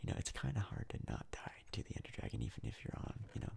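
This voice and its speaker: A man giving hushed, casual ASMR game commentary in a breathy, gravelly whisper, close to the mic. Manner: hushed, casual, unhurried rambling. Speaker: gaming video host.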